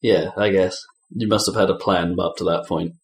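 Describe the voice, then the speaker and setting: A man's voice: low voice, fairly even intonation, moderate pace. A man co-hosting a podcast, chatting casually about games in an informal, sweary way. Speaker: podcast co-host. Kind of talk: casual gaming chat. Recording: echoey room, faint background noise.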